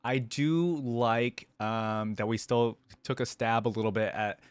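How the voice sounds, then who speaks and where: A man's voice: mid-range voice, fairly even intonation, measured pace, smooth, no echo. A man giving casual, unscripted reaction commentary as a video host, talking in a rambling, conversational way. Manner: rambling, conversational, often hedging with "like" and "you know".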